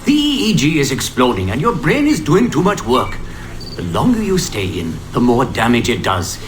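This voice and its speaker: A man's voice: medium pitch, wildly swooping pitch, normal speed. A man playing a cautious, level-headed lab researcher in naturalistic audio drama, with a wry, cautionary way of talking. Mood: firm caution. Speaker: research colleague. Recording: audible background ambient noise.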